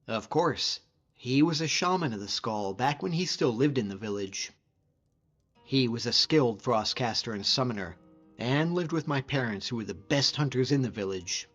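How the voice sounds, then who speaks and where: A man's voice: mid-range voice, lively intonation, moderate pace, a bit bright, faint music behind. A man voicing a hardy, easygoing hunter and frost mage as a fantasy game companion, talking informally and sincerely in a reflective, plain-spoken way. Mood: fond, reminiscing. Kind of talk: conversational scripted game character dialogue.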